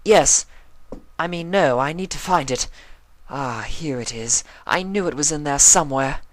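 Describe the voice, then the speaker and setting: Elegant, theatrical pony lady, played by a man reading, high and emotional. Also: a little slow, fairly bright tone. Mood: flustered. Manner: dramatic and plaintive. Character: refined dramatic fashionable female unicorn pony.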